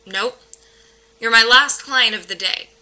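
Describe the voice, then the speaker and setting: A woman's voice: a little high, unhurried, sharp tone. A woman playing a skeptical, level-headed paranormal investigator in an audio drama, dry and matter-of-fact. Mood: calm, dismissive. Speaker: actor in an audio play. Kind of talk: modern naturalistic audio drama.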